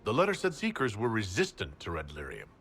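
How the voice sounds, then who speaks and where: A man's voice: on the low side, wildly swooping pitch, a little fast, a bit bright, faint music behind, heavily acted. A man playing a concerned, direct hero in a video game, asking short, plain questions. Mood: inquiring. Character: concerned, direct male hero leading a party. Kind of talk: video game character dialogue.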